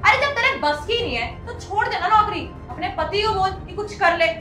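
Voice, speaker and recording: A woman's voice: extremely high pitch, expressive, normal speed, bright, forceful tone, exaggerated voice. A young woman playing a haughty, cruel customer in a melodramatic skit, scolding with contempt. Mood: mocking, contemptuous. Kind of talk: melodramatic scripted Hindi skit acting. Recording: roomy echo, faint music behind.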